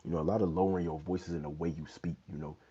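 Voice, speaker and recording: A young man with an extremely low, dark and husky voice chats casually on a livestream, loose and slangy, tossing in "you know what I'm saying" again and again. He talks into a wired earbud mic in a small bedroom, and the phone audio sounds dull and lo-fi. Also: steady tone, racing. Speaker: fitness livestream host. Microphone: wired earbud mic, phone held low.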